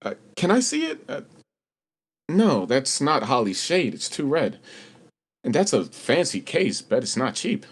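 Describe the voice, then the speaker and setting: An actor playing an eager, earnest young theater assistant turned amateur sleuth in an old-time style radio play. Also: male voice, mid-range voice, huge pitch swings, measured pace, breathy edge, full character voice. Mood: nervous, hesitant.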